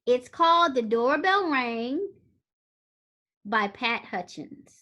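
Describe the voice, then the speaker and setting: A woman teaching young children speaks in a high, sing-song voice. She takes her time and addresses the pupils directly, in the style of a classroom read-aloud. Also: lively intonation, slow pace, sharp tone. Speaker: elementary school teacher.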